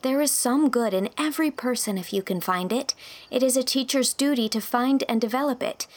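A woman's voice: fairly high pitch, fairly quick, darkish tone, pitched above the performer's own voice, close to an everyday voice. Woman voicing an earnest, fervent young schoolteacher heroine. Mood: firm, idealistic. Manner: emphatic, pleading, principled. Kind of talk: audiobook character dialogue.

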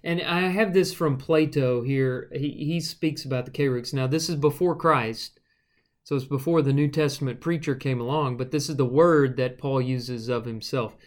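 A man with a thin, reedy voice, co-hosting a podcast and explaining Bible passages in a thoughtful, unhurried way, with frequent fillers. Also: mid-range voice. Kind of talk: casual teaching conversation.

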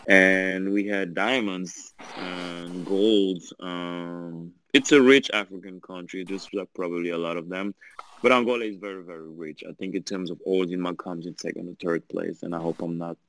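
A man's voice: low voice, steady tone, moderate pace, fairly bright tone, poor audio. A man from Belgium and France with a French accent, chatting casually and jokingly as a podcast guest. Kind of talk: casual chat.

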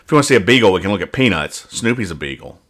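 A man's voice: low-pitched, lively intonation, rapid-fire, a bit bright. A man hosting a casual comedy podcast, over-explaining with mock earnestness and stumbling repetitions. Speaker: podcast host. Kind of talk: casual comic-strip review banter.

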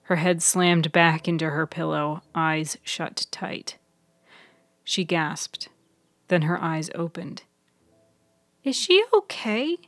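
Woman reading a story, lowish voice, really slow and dramatic, bit of music behind. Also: flat delivery, a bit bright, no room sound. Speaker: author and narrator. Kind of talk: scripted fiction narration.

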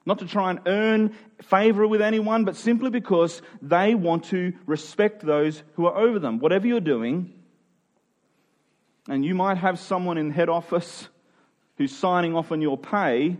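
This is a man preaching, his voice a little higher than average. He explains in an informal, conversational style, stressing his points and checking in with those listening. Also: a little mellow, lo-fi sound. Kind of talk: conversational expository sermon.

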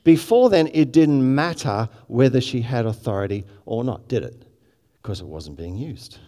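A man's voice: mid-range voice, expressive, normal speed, warm tone, long reverb tail. A man with an Australian accent preaching a sermon, chatty with many restarts and asides to the congregation. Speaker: pastor.

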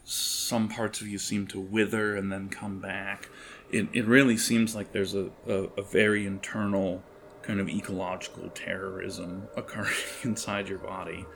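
A man improvising narration as game master of a tabletop horror game, halting as he searches for words. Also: low voice, level tone, creaky, a bit bright, a little hiss or hum.

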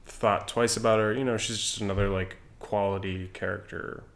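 A man's voice: lowish voice, fairly even intonation, normal speed, vocal fry. A man co-hosting a podcast, chatting casually and jokingly about a favorite television performance. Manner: joking, rambling. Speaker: podcast co-host.

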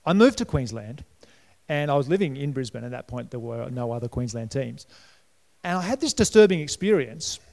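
A middle-aged Australian man preaching a sermon in a conversational way, his voice jumping up in pitch and loudness at times, recorded in a church hall. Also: lively intonation, brisk, gravelly. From New South Wales, Australia. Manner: conversational preaching with sudden lifts in pitch and volume. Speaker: pastor. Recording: long reverb of a church hall.

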